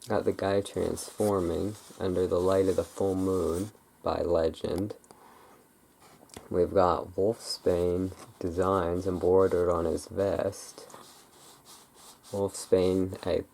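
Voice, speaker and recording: An ASMR creator chatting casually and unscripted, in a halting, rambling way that often breaks off mid-thought. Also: low voice, vocal fry, warm tone, a little hiss or hum. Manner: halting, rambling, self-interrupting.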